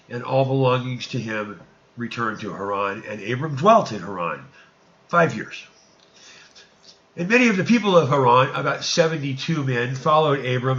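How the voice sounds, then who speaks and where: A man reading archaic scripture narrative aloud in an emphatic, declamatory and sometimes halting way. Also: medium pitch, a bit bright, lo-fi sound.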